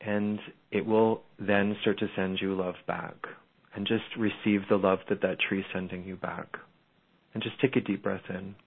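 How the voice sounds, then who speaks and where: A man leading a slow, soothing guided meditation as a spiritual guide on a call-in show. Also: low-pitched, steady tone, vocal fry, a little hiss or hum. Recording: phone-line audio.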